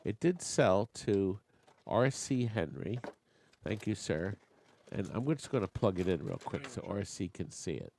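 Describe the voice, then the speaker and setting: A man's voice: fairly low pitch, sing-song, a little slow, darkish tone, a little hiss or hum. A man hosting a live online auction, chatting with bidders and making joking asides while losing his voice. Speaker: auctioneer and show host.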